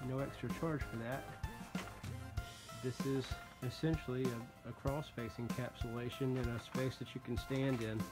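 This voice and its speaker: A man's voice: medium pitch, fairly even intonation, moderate pace, over music. A man who installs radon systems, walking through an old house cellar with a handheld phone and talking through the work in a folksy, joking way. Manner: folksy, joking, plain-spoken. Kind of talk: casual how-to walkthrough.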